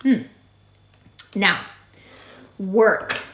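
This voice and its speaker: A woman with a raspy voice giving a monthly horoscope reading, direct and emphatic, repeating her advice. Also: medium pitch, very slow, a bit bright, slight background noise. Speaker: astrology reader. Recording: echoey room.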